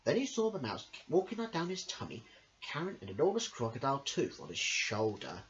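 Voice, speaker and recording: A man reading a children's story as an amateur host, in an expressive, sing-song way. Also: mid-range voice, a little fast. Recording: dull lo-fi home recording.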